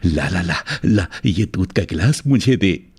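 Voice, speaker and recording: A man playing a doting, low-voiced young bridegroom. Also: animated, measured pace, gravelly, darkish tone, slightly rough, heavily acted. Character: lovestruck rich young bridegroom, doting, possessive. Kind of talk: melodramatic cartoon story acting.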